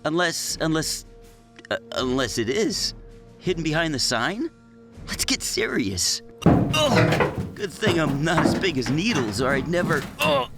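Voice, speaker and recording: A man's voice: on the high side, sing-song, a little slow, long pauses, loud music behind the voice. A man voicing a plucky, nervous teenage boy in a motion comic, slangy, exclamatory and prone to stammering. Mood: puzzled, muttering, then straining, alarmed. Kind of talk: animated character acting for a motion comic.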